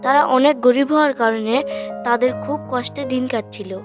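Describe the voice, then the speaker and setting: A woman's voice: high voice, normal speed. A woman narrating a scripted children's story. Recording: music behind the voice.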